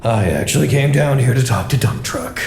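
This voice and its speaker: A man acting in an audio drama as an anguished, guilt-ridden worker at a doomed secret facility, halting and earnest. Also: medium pitch, lively intonation, creaky, roomy sound, background noise. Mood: awkward, gentle.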